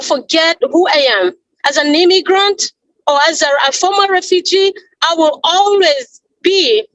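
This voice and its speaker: A woman with a high voice speaks at a panel event. She talks earnestly, in halting phrases, often stopping to repeat or rephrase herself. The recording is dry, with almost no sound of the room. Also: fairly bright tone, no room sound, poor audio. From Congo. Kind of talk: earnest speech at an online panel.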